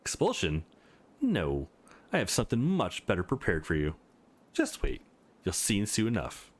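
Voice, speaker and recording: A man's voice: lowish voice, wildly swooping pitch, moderate pace, slightly rough, full character voice. A man voicing a cocky, hostile young male bully in a visual novel, sneering and threatening. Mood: menacing.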